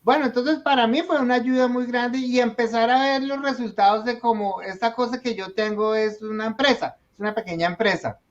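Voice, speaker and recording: A man's voice: very high-pitched, brisk, few pauses. A man, a small business owner telling his story in a casual podcast conversation and acting out the voices of the people in it. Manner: animated storyteller, acts out quoted dialogue. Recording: dull lo-fi sound.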